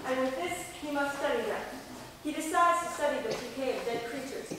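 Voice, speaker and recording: Schoolgirl as bold young horror author, teasing stage storyteller, high voice, heard far off in a reverberant hall. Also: fairly quick, lightly acted. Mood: intense, building. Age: child or young teen student. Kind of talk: stage reading of a gothic radio play. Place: large echoing school performance space. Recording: echoey hall from far off, faint noise.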